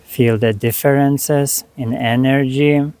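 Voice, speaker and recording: A man leading a guided meditation, speaking to the listener in short, unhurried phrases with long pauses between them. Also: mid-range voice, steady tone, darkish tone, clean tone, no room sound.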